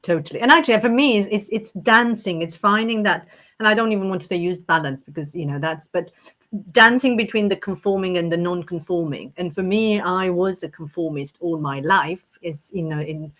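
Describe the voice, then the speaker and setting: A woman's voice: on the low side, fairly quick, fairly bright tone. A middle-aged woman from Romania hosting a conversational interview podcast, playful and often searching for her words. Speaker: podcast host.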